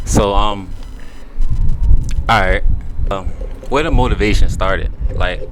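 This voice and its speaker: Low-voiced podcast co-host asking questions. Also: male voice, slow pace, background noise. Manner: relaxed, hesitant, asks with fillers. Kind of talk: casual interview questions. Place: live podcast show.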